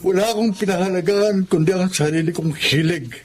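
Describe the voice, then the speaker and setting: A man with a Filipino accent playing an emotional, gruff father in a Tagalog radio drama. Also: sits high, fairly quick, a little hiss or hum, lightly acted. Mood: remorseful.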